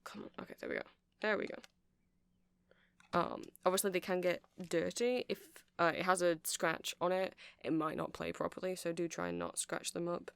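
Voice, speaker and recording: A woman's voice: lowish voice, fairly even intonation, moderate pace, vocal fry, clear, resonant tone, dry recording. A woman giving a rambling, chatty ASMR demonstration, explaining things step by step. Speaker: ASMR creator.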